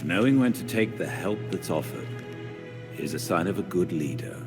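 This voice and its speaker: Older game butler, very low voice, formal, expressive delivery, music behind. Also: male voice. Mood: gently chiding. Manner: formal, measured. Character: refined, measured older butler and gentle mentor. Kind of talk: video game cutscene acting.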